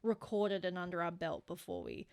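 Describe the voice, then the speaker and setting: A woman's voice: on the low side, normal speed, gravelly, a little mellow. A woman with an Australian accent chats casually and informally as a podcast co-host.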